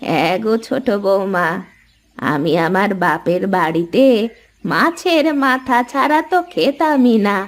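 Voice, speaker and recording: A woman voicing a kindly elderly village mother-in-law in a cartoon, speaking in a measured, soothing way. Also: medium pitch, expressive, close to an everyday voice. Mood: fond, reminiscing. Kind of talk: cartoon voice acting in Bengali family story.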